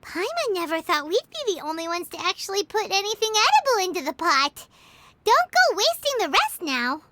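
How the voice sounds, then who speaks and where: An actress voicing a tiny, chirpy fairy companion in a video game, bubbly and teasing, with a very high, childlike voice, talking about herself in the third person. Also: female voice, very animated, moderate pace, pushed and bright, slight background noise, exaggerated voice. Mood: eager. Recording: game audio with music underneath.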